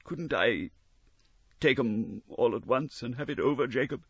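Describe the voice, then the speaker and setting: A man's voice: mid-range voice, lively intonation, measured pace, gravelly, breathy edge, dry recording, muffled recording, voice pushed up, heavily acted. A man voicing a frightened, pleading old miser who speaks in a faltering way.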